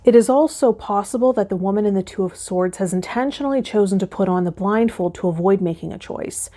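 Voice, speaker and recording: A woman hosting a tarot channel, talking and reading guidance aloud in a measured, instructional way. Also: medium pitch, dark tone. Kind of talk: vlog talk with reading aloud from tarot guide.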